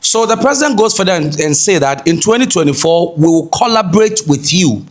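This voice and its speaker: Emphatic higher-voiced Ghanaian man. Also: expressive, fairly quick, noisy background. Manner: emphatic, repeats key phrases. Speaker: host commentator. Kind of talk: explanatory commentary on a news clip.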